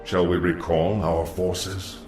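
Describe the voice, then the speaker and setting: An actor voicing a loyal, solemn undead sorcerer adviser in a video game cutscene, formal and deferential. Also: male voice, low voice, flat delivery, slow pace. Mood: deferential, concerned. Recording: echoing voice with music behind.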